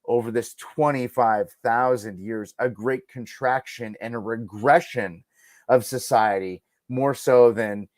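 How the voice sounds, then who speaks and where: A man's voice: mid-range voice, unhurried, dry recording. A man talking casually as a podcast guest, giving emphatic, musing opinions peppered with "like" and "you know".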